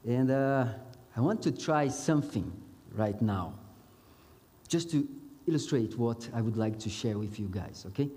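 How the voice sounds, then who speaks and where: A man's voice: mid-range voice, lively intonation, a little slow, dark tone. Brazilian-accented male preacher in an echoing hall. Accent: Brazilian mixed with Mozambican and South African. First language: Portuguese. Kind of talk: sermon to a church congregation. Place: church hall, speaking to congregation. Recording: long echoing hall reverb.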